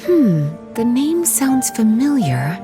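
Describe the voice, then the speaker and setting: A woman's voice: on the high side, sing-song, slow, heavily acted. An actress playing an elegant, teasing, doting female librarian in a video game, with a coquettish manner. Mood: intrigued, teasing. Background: faint game music.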